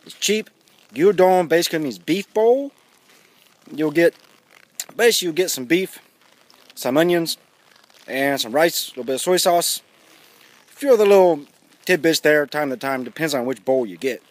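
Blunt, animated man with a high-pitched voice. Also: normal speed, long pauses, no echo. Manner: blunt, emphatic, sardonic, with swearing. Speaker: vlogger giving news commentary. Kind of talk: casual, opinionated commentary to camera. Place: outdoors, walking in a residential neighborhood. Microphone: phone or camera at arm's length.

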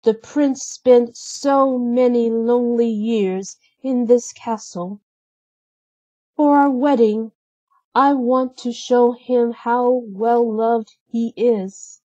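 A woman's voice: fairly high pitch, fairly even intonation, takes it slowly, warm tone, no room sound, lo-fi sound, voice pushed up. A woman voicing a sweet, happy young bride princess in a storybook, gushing and earnest. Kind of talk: storybook character reading.

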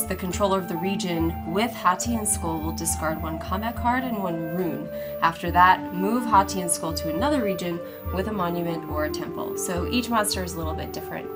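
Woman, 30s, board game co-host, clear teaching tone, slightly low voice. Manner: clear, upbeat, instructive. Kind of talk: rules explanation and casual play chat. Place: home studio set with brick wall, indoors.

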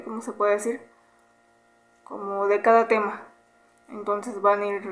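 A woman in her twenties from Mexico with a Mexican Spanish accent, answering viewer questions in a relaxed, chatty vlog, humming between questions and recorded on a camera held close in a small bedroom. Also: mid-range voice, slight background noise. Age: 20s. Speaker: YouTuber. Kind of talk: casual Q&A vlog.

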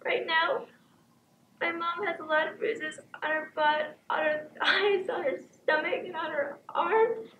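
A woman's voice: very high voice, steady tone, unhurried, narrow, tinny audio, slight background noise. A young woman playing a frightened, tearful little girl in a stage monologue, speaking in plaintive, simple childlike phrases. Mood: tearful, pleading. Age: young adult. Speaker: high school stage actor. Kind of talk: theatrical monologue. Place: indoor theatre stage, far camera. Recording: echoey stage, distant microphone.

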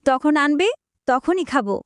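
A woman plays a stubborn little village girl in a cartoon, high-voiced and pleading. The sound is very dry. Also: animated, measured pace, dry recording. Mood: pleading, sulky. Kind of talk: cartoon voice acting.

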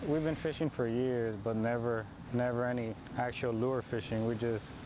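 A man's voice: mid-range voice, steady tone, normal speed, background noise. A man in his thirties talking casually while fishing at an outdoor park pond, explaining his technique as he goes, picked up by a camera mic at some distance. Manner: laid-back, explains as he fishes. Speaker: fishing vlogger.

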